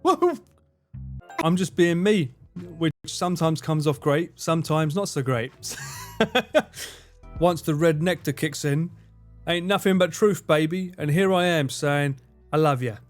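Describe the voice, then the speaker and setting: A man's voice: on the high side, expressive, unhurried, faint music behind. A man streaming live, chatting casually and crudely, laughing often.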